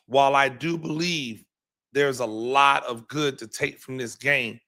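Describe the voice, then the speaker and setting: Man, hoarse, bright forceful tone, middle pitch, rambling, repeats words, lots of ums, football coach hosting a live reaction show. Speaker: podcast host and high school football coach.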